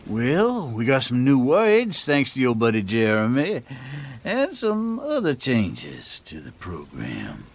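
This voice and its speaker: An actor playing an eccentric, evasive man who muses slyly and haltingly in a video game. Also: mid-range voice, wildly swooping pitch, heavily acted. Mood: sly, musing. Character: eccentric male ceremony insider, evasive, folksy philosopher. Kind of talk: video game cutscene dialogue. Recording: rain and thunder ambience behind voice.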